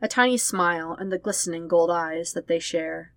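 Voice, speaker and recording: Female podfic narrator, lower-pitched voice, very dry close sound. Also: normal speed, fairly bright tone, no echo. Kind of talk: scripted fan fiction narration.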